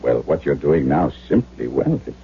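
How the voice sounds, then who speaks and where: An actor playing an earnest, principled young German doctor in a radio drama, speaking in a measured, formal way. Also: male voice, very deep voice, big pitch swings, creaky, breathy edge, exaggerated voice. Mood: gentle, persuasive. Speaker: narrator and lead character. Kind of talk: 1970s radio drama acting, with diary narration. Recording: muffled old radio broadcast with hum.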